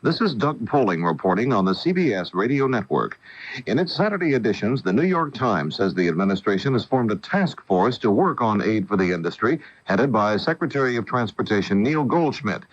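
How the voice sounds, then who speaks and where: A man's voice: fairly low pitch, dry recording. An American man anchoring a scripted network radio newscast. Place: dry radio studio. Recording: dull old AM radio recording.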